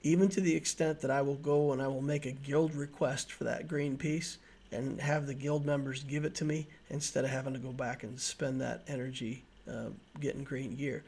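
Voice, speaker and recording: A man's voice: mid-range voice, little pitch movement, normal speed, a little mellow. A man in his forties giving a conversational, patient explanation as a gaming video host, repeating points for emphasis. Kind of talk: explanatory slide presentation, conversational.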